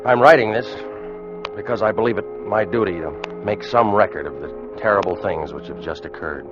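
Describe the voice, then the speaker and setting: Guy playing a weary american ship's mate, low voice, old radio play, music under it, dull vintage sound. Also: fairly quick, lightly acted. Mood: grave, foreboding. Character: weary American first mate of a sailing schooner. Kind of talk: 1940s-50s radio drama acting, first-person narration and dialogue. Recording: muffled vintage radio recording, music behind.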